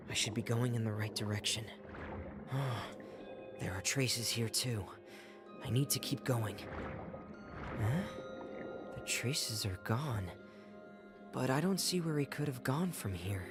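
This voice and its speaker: An earnest, determined young companion from a fantasy video game, thinking out loud. The delivery is slow and deliberate, with faint music underneath. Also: medium pitch. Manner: musing aloud to self. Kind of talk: scripted game voice acting.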